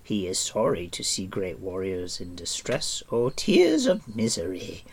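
A man's voice: low voice, huge pitch swings, measured pace, gravelly, a little hiss or hum, full character voice. A man voicing a whimpering, servile wild creature in an audiobook, fawning and pitying himself in the third person. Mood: wailing, miserable. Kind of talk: audiobook character voice.

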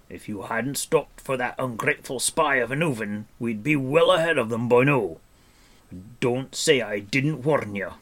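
A man's voice: mid-range voice, a bit bright, voice pushed up. A man voicing an irascible, impatient male dwarf in a storybook, snappish and sputtering as he scolds.

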